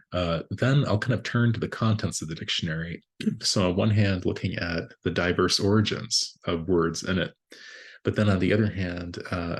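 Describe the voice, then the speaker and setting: Man, middle-aged, native Latvian speaker, low voice, slightly breathy, academic lecture, hesitant with many fillers. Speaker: linguist giving a talk. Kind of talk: academic presentation with slides.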